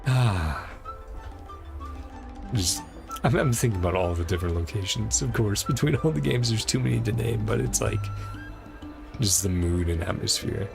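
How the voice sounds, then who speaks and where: Animated male game streamer with creaky voice. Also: on the low side, moderate pace, long pauses, over music. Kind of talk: casual livestream commentary, reading game dialogue aloud.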